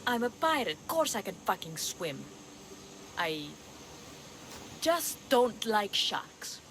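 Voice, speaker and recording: An actress playing a sly, flippant pirate schemer in a video game, with a teasing, casual way of talking. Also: female voice, mid-range voice, sing-song, slow pace, fairly bright tone. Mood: brash, intrigued. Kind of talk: video game cutscene dialogue acting. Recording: clear background ambience noise.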